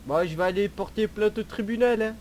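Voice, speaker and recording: A man's voice: high-pitched, brisk, a little mellow, natural voice. A man improvising a cheeky, evasive car-theft suspect who hesitates and protests, in online game roleplay. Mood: aggrieved. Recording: clearly noisy game voice chat.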